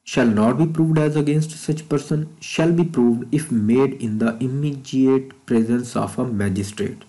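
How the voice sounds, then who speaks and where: A man with a Pakistani accent teaches at a slow, measured pace. His voice is mellow and soft-edged, at a middling pitch. He reads out test questions and explains each answer, switching between Urdu and English. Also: rough recording. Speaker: law test-prep instructor. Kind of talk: explanatory lesson, reading out quiz questions and answers.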